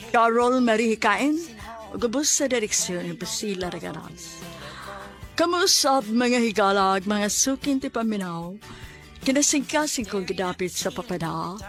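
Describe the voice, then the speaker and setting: Cebuana radio announcer over music. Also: female voice, mid-range voice. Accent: Cebuano Filipino. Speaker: radio drama host and announcer.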